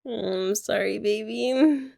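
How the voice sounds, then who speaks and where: A young woman in an ASMR girlfriend roleplay, talking softly and teasingly to the listener. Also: mid-range voice, slow pace, vocal fry. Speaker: ASMR creator.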